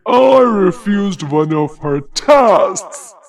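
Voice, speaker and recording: A woman voicing an imprisoned jinn from an animated fairy tale, commanding and pleading, with drawn-out, declaiming delivery. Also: mid-range voice. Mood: urgent, pleading. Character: imprisoned jinn, commanding, booming shadow spirit pleading for freedom.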